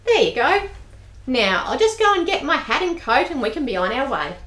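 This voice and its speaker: A woman in her forties voicing a brisk, bossy spinster bank clerk in an amateur radio play. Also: high voice, very animated, fairly bright tone. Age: 40s. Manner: brisk, bossy, matter-of-fact. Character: bold forty-something spinster bank clerk. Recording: echoey room.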